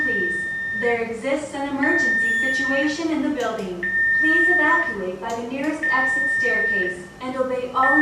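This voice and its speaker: Woman announcer, high voice, formal and measured, scripted, intercom playback, heavy echo. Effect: played through an intercom speaker. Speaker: emergency public address announcer. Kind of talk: scripted pre-recorded announcement. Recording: very echoey intercom playback, distant, faint noise.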